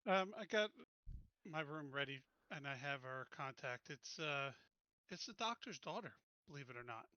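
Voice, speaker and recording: A man with a bright, clear voice sitting a little high chats as a player in a tabletop game. He speaks informally and haltingly as he describes his moves. The recording is dry and a touch muffled. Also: animated, no room sound. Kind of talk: casual chat and in-character description.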